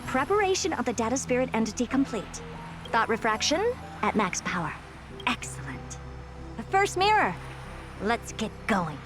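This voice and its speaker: An actress voicing a haughty, blunt genius scholar in a video game, imperious and dismissive, often musing aloud. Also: female voice, on the high side, big pitch swings, normal speed, over music. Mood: commanding, brisk. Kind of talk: scripted video game voice acting.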